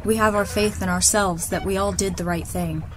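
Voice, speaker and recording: A grave, defiant woman officer in disgrace played by an actress in a radio drama. She speaks formally and solemnly at a medium pitch, in an echoey space with faint noise. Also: fairly even intonation, moderate pace, natural voice. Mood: earnest, solemn. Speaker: voice actor. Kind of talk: audio drama acting.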